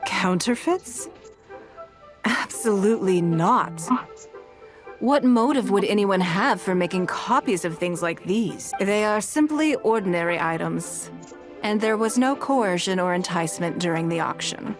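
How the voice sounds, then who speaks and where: An actress playing a composed, shrewd, wealthy businesswoman in a video game, with measured, formal, deliberate diction. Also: medium pitch, expressive, music in the background, close to an everyday voice. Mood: firm, unruffled. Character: composed shrewd wealthy businesswoman, young adult, coolly authoritative.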